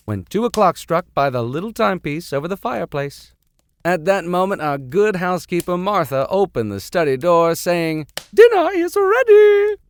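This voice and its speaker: A man's voice: mid-range voice, no room sound, clean studio sound. A man reading a classic story aloud as host, theatrical in delivery, with wry asides. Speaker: reader, host.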